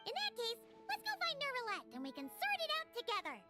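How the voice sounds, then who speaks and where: Tiny floating girl companion, bubbly, teasing, very high squeaky voice, wildly bouncing pitch, game music behind. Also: huge pitch swings, quick pace, exaggerated voice. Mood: eager. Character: tiny floating female companion, chirpy and eager. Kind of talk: game cutscene voice acting.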